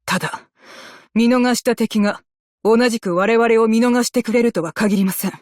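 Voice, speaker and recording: A woman playing a composed, dutiful female naval officer in a game, speaking with measured, formal military politeness. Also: fairly high pitch, darkish tone, no echo, clean studio sound, lightly acted. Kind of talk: scripted game character voice lines in Japanese.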